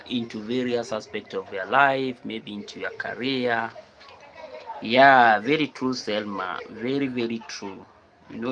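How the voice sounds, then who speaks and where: A man's voice: mid-range voice, heavy background noise. A man from Kenya hosting a casual live stream, repeating his phrases and answering viewers as he reads their comments.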